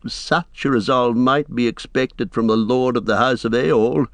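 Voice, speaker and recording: A man's voice: medium pitch, a little slow, no echo, lightly acted. A man voicing a cringing, sly royal counsellor in a fantasy storybook, whining and wheedling with obsequious politeness. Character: cringing, servile, scheming male royal counsellor, sly and pleading.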